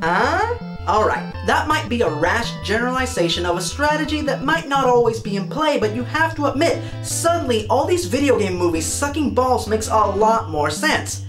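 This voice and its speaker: A man hosting a scripted comedic film review in an animated, sarcastic manner. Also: very high voice, normal speed, over music. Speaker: video reviewer, show host.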